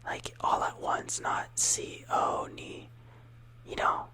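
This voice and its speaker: A speaker whispering a casual ASMR lesson, softly repeating words and rambling with lots of fillers. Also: very high-pitched, level tone, takes it slowly. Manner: soft whispering throughout. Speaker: teacher of Italian words.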